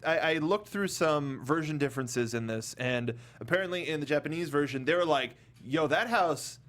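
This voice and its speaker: Higher-pitched man hosting a casual panel. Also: normal speed. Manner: animated joking, rambling. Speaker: podcast host.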